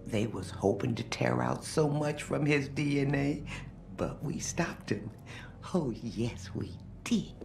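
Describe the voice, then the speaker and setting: Elderly game character, low and gravelly, kindly, doddering, slow rambling delivery, quavering breath. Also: female voice, darkish tone, slight background noise, heavily acted. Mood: tender. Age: older. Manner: wandering, doddering. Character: forgetful, kindly elderly woman, former nurse, rambling. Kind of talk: video game voice acting.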